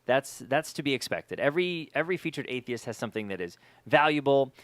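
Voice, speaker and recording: A man's voice: mid-range voice, big pitch swings, fast talker, fairly bright tone, dry recording. A man hosting a podcast in casual chat, speaking emphatically and often repeating words for emphasis. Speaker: podcast host.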